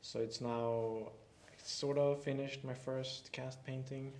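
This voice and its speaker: A man's voice: mid-range voice, level tone, slow pace, darkish tone. A young man, an art student, speaking casually and hesitantly with many fillers. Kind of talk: casual live stream chat. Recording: echoey room, faint background hum.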